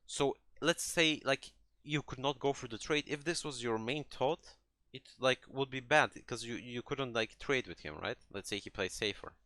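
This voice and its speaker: A man's voice: medium pitch, normal speed, few pauses, pushed and bright, dry recording. A man coaching a player through a game replay in a conversational, explanatory way, restarting phrases and often checking that he is followed. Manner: hesitant phrasing with many restarts, checking understanding with "right?". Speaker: gaming coach.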